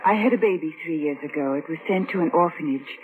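A woman's voice: deep voice, fast talker, lightly acted. Reserved London shop girl woman acting, plain-spoken and guarded; vintage radio drama, narrow-band recording, light hiss. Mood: quietly confessing.

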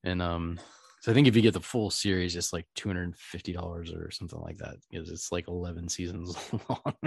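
Low-pitched man, casual rambling podcast chat. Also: flat delivery, a little fast, vocal fry, husky. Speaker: podcast host.

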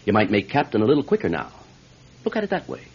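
An actor playing a cynical, matter-of-fact desk official in a radio drama, brusque and offhand, with a husky voice. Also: male voice, fairly low pitch, wildly swooping pitch, quick pace, heavy background noise. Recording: dull old radio recording.

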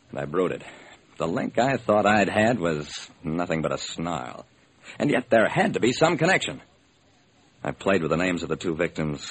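Determined private eye, classic radio crime drama, animated pitch, rough-edged voice, brisk narration. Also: male voice, heavily acted. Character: hard-boiled male private detective, brisk and determined. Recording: old, slightly rough radio recording.

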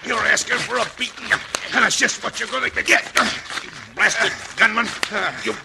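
A man's voice: very high voice, fairly even intonation, normal speed, vocal fry, noisy background, exaggerated voice. A man acting a ruthless, swaggering gang boss in an old radio western, with an American Western accent and blunt, menacing delivery, on an old recording. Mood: threatening.

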